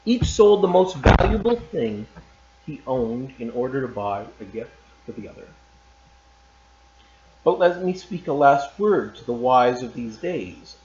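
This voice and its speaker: A man teacher reading a short story aloud in a calm, measured way. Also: medium pitch, noisy background. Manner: calm and measured, loose and chatty after reading. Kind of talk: read-aloud story narration. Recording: roomy, slightly dull recording.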